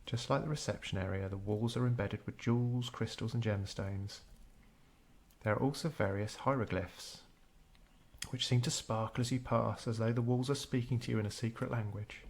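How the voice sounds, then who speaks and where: A man narrating a scripted guided meditation in a measured, unhurried storytelling way. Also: medium pitch, fairly even intonation, creaky.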